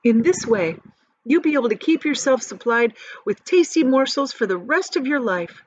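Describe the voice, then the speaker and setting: A woman teacher reading a children's book aloud with expressive, softly varied storytelling. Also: sits high, moderate pace, no room sound. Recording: dry, dull lo-fi audio.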